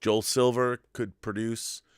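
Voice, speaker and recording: A man's voice: mid-range voice, level tone, slow pace, clear, resonant tone, no room sound, clean studio sound. A man hosting a casual live stream, chatting in an enthusiastic, rambling way and reading out viewer messages.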